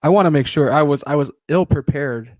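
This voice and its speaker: A man co-hosting a podcast in casual live-stream chat, often halting and restarting his sentences and swearing casually. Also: on the high side, darkish tone, no room sound. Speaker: podcast co-host.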